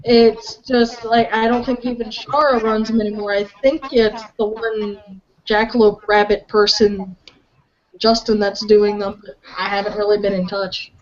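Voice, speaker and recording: A woman's voice: mid-range voice, little pitch movement, measured pace. A young woman from Louisiana, streaming her drawing and chatting casually, giggly and easily distracted. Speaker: streamer drawing art live. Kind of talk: casual chat while drawing. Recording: muffled voice chat audio.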